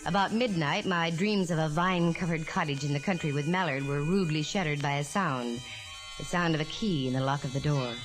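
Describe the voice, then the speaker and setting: A woman's voice: sits low, brisk, close to an everyday voice, rough recording. An American actress playing a plucky, wry, slangy female private eye in a 1940s radio detective drama. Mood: wry narration. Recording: vintage 1940s radio recording, music behind.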